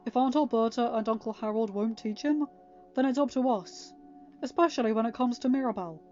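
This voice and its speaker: A woman's voice: on the high side, a little mellow, lightly acted. With faint music behind, a woman plays a stern young storybook man in a slightly dull recording. The delivery is firm and lecturing, protective of family and insistent.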